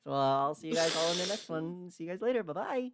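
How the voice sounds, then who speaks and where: A streamer hosting a casual livestream, talking in a halting, rambling way. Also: medium pitch, lively intonation, background noise. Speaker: streamer, host.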